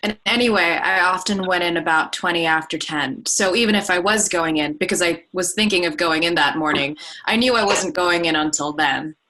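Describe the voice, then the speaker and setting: A woman acting a shaken congregant in a documentary play. Her voice is somewhat low with a clear, forward edge, and she recounts things plainly, as testimony. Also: normal speed, few pauses, pushed and bright, poor audio. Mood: plain recollection. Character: shaken synagogue congregant recounting the morning of an attack. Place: remote Zoom reading from a room.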